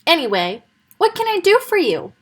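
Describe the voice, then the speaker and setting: A big, blustering male wolf from a children's storybook, as a woman reads him aloud. He booms out his lines firmly. The voice sits up high and swings around a lot. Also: wildly swooping pitch, moderate pace, full character voice. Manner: booming and firm. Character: big bossy male wolf, blustering but good-hearted.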